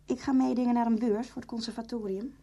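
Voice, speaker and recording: A woman's voice: on the high side, steady tone, fast, close to an everyday voice. An actress playing an earnest, ambitious schoolgirl pianist in a Dutch radio drama, youthful and direct. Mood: earnest, confiding.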